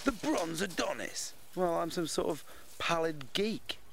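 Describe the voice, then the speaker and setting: A man's voice: fairly high pitch, expressive, measured pace, gravelly, a bit bright, slight background noise, exaggerated voice. An actor playing an anxious, irritable young British office worker voicing his rambling, self-questioning inner monologue in an audio drama. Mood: brooding, irritated.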